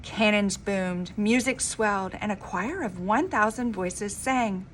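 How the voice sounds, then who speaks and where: A woman reading a children's storybook aloud like a teacher, with an expressive, emphatic delivery. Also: mid-range voice, slow. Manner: dramatic, emphatic delivery with informal asides. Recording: clearly noisy homemade recording.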